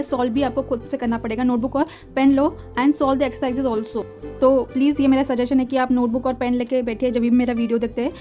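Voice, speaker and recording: A young woman, patiently explaining German textbook exercises. She repeats words slowly and mixes Hindi and English. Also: high-pitched, darkish tone. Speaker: German teacher. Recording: faint music behind.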